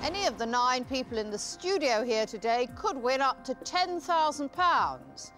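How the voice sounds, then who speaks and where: A woman runs a studio quiz with clipped, mocking delivery. Her voice sits a little high, and soft music plays faintly underneath. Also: very animated, moderate pace. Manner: curt, cutting, mocking. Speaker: quiz show host. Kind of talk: scripted quiz hosting. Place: television studio.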